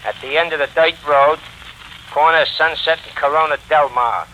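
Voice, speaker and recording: Menacing gangster: hard bright edge, higher pitch, clipped orders, 1940s radio crime drama. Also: male voice, normal speed, slight background noise, low-quality recording. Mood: menacing, businesslike. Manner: clipped, commanding, slangy. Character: curt, menacing gangster giving ransom orders.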